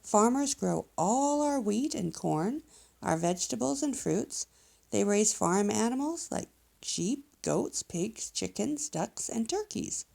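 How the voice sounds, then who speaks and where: A woman's voice: fairly high pitch, lively intonation, slow pace, creaky, a little mellow, heavily acted. A woman voicing an enthusiastic male professor bear who explains things in an encouraging, emphatic way, like a children's storybook guide. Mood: instructive.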